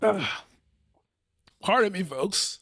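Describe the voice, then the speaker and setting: A man's voice: high-pitched, slow pace, vocal fry, slightly rough, no room sound, clean studio sound. Man hosting a rambling podcast review. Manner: relaxed, rambling with many fillers and restarts. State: losing his voice. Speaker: podcast host. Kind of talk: casual solo film review.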